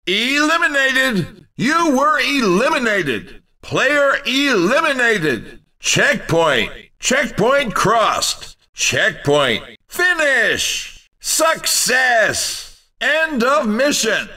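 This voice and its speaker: An actor playing an excitable, teasing racing game commentator, delivering short theatrical announcer calls. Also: male voice, very high-pitched, drawn-out words, far from the mic, heavily acted. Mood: excited. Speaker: video game race commentator.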